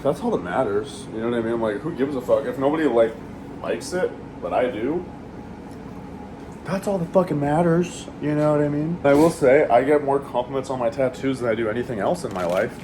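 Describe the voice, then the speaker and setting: A man's voice: medium pitch, a little fast. A man chatting casually while he eats, rambling and swearing freely, with lots of fillers. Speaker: vlogger eating on camera. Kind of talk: casual chat while eating. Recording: steady air conditioning hum.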